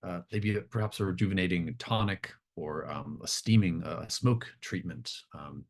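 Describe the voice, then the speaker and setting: A man's voice: low-pitched, moderate pace. A man playing a concerned, fussy river-folk healer in a tabletop game, hesitant and solicitous as he talks.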